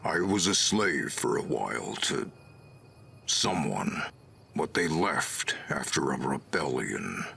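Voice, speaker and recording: A gentle old super mutant soldier from a video game, with a very deep voice full of gravel. He speaks slowly and haltingly, carrying old sorrow, with a hard forceful edge and faint noise behind him. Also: very animated, exaggerated voice. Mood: somber. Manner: slow, halting, deliberate delivery. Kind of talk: video game character dialogue.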